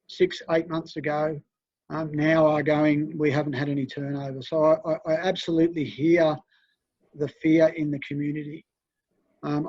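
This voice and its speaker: Brief: man as mayor, monotone and thoughtful, with a dry, lo-fi council sound. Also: fairly high pitch, dry recording. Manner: hesitant, many fillers, reflective. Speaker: mayor chairing the meeting. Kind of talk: chairing a council meeting. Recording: dry, dull lo-fi meeting audio.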